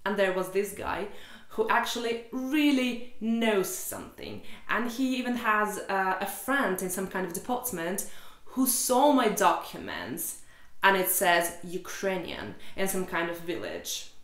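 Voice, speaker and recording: A woman's voice: mid-range voice, animated, measured pace, fairly bright tone, roomy sound. Exasperated Russian woman vlogging. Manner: exasperated, candid, sarcastic, swears freely. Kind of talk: casual chat to camera. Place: small room indoors.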